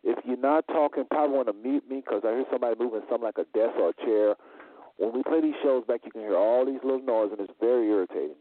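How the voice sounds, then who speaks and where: Fast-talking man hosting over a phone line. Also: medium pitch, no room sound. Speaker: host. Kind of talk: hosting a call-in show. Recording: dry, tinny phone line.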